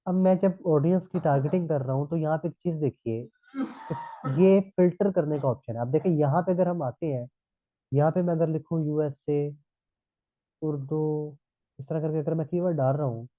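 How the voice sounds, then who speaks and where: A man's voice: a little high, moderate pace, pauses often, dry recording. A man from Pakistan with a sore throat, teaching a live online class and checking in with students as he explains. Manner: explanatory, repeats "thik hai" checks. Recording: tinny phone-like line.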